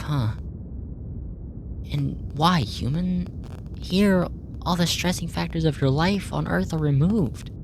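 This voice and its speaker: A woman playing a curious, earnest alien researcher in an ASMR roleplay, speaking formally and clinically, puzzled by human feelings. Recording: noisy with low rumble.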